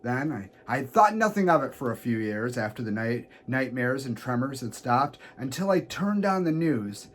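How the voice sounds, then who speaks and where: A man's voice: mid-range voice, big pitch swings, normal speed, few pauses. A man who works as a pro wrestler, reading listener letters aloud as a show guest. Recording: noisy background.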